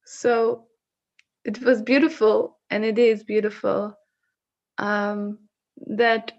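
A woman from the Netherlands with a Dutch accent, sharing personal reflections on a video call in a slow, searching way with frequent restarts. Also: on the high side, gravelly, highs cut off. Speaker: session facilitator.

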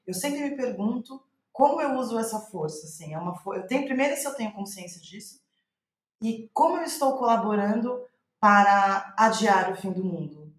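A woman with a Brazilian Portuguese accent in a reflective interview, thinking aloud haltingly and trailing off. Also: medium pitch, animated, echoey room. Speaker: playwright, podcast guest.

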